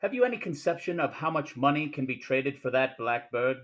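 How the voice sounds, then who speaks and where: An actor playing a genial, cunning, courtly fat crime boss in a 1940s-style radio play, with a pompous, wordy manner. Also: male voice, medium pitch, expressive, a little fast, fairly bright tone, muffled recording, natural voice. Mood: jovial, courtly. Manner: pompous, theatrical, elaborately formal.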